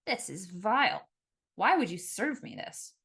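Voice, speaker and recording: A woman's voice: medium pitch, very animated, a little slow, creaky, fairly bright tone, slightly rough, exaggerated voice. A woman voicing a snobbish, exasperated male bar customer in an audiobook, haughty and clipped in manner. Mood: indignant.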